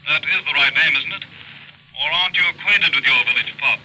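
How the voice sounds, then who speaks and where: A man's voice: a little high, brisk, sharp tone. A man playing a breezy, needling former suitor heard over the telephone in a 1940s radio drama. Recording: very noisy telephone line, vintage radio.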